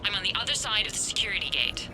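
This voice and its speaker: An actress playing a practical, nervy infiltration partner in a video game, speaking with urgency. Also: female voice, mid-range voice, sing-song, racing, background noise, close to an everyday voice. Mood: tense. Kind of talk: cinematic video game dialogue.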